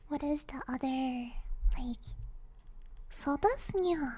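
A young woman with a very high, girlish voice chats in a soft, breathy ASMR style, often whispering. She takes it very slowly, close to the mic, with a cutesy anime-style delivery. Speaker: ASMR streamer. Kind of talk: ASMR, casual livestream chat. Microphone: close binaural ASMR microphone.

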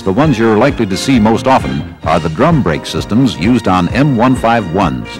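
An American man reading scripted instructional narration for a training film in a measured, didactic way. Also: fairly low pitch. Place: studio voice-over.

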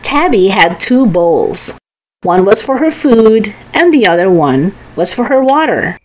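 A woman reading a children's storybook aloud, with careful, expressive enunciation. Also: medium pitch, a little slow, poor audio. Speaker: storybook reader. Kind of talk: children's read-aloud narration.

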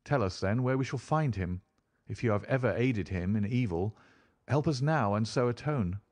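A man's voice: medium pitch, expressive, normal speed, darkish tone, close to an everyday voice. A man playing a decisive, grave Victorian detective in an audiobook, speaking in a formal, commanding manner. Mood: stern. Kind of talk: dramatic audiobook character acting.

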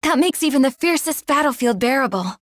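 A woman voicing an earnest, dutiful young noble swordswoman in a fantasy game, formal and gentle. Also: sits high, lively intonation, a little fast, dry recording, studio quality.

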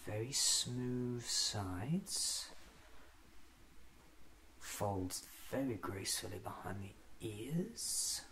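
A man in his thirties doing a soft-spoken ASMR roleplay as a fussy, eccentric doctor musing to himself, often slipping into a whisper. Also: lowish voice, lively intonation, very slow, long pauses, low-quality recording. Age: 30s. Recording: clearly audible background noise.

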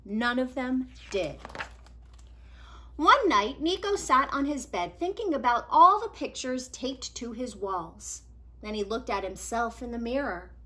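A woman in her thirties reading a children's picture book aloud expressively, with deliberate dramatic emphasis. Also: sits high, bright, forceful tone. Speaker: read-aloud storyteller. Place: small living room at home, indoors.

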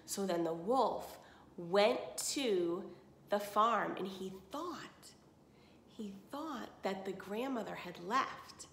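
Woman, forties, primary teacher reading and explaining, medium pitch, roomy home recording. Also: sharp tone. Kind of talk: read-aloud and casual lesson for children. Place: small indoor room at home.

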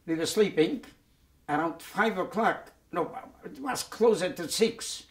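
A man, giving oral history testimony in a halting way, with many repeated words and restarts. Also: high voice, animated. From Poland. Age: 90s. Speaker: Holocaust survivor giving testimony. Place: dark studio set, indoors.

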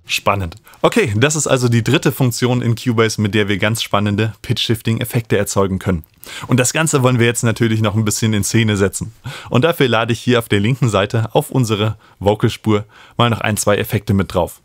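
A man, explaining music software as an instructor in a tutorial. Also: lowish voice, a little fast, dry recording. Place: small home studio, indoors. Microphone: studio microphone up close.

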